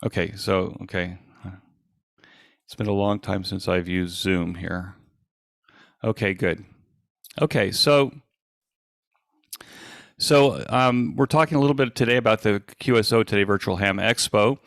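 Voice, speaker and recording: A man in his sixties with an American accent gives an informal online presentation in a halting, conversational, self-deprecating way. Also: fairly low pitch, gravelly, dry recording, studio quality. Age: 60s. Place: indoors at a desk, on a video call.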